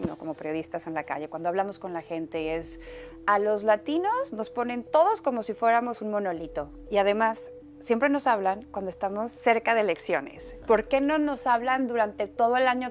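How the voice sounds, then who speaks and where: A woman's voice: on the high side, lively intonation, a little fast, faint music behind. A woman journalist making her points in a panel discussion.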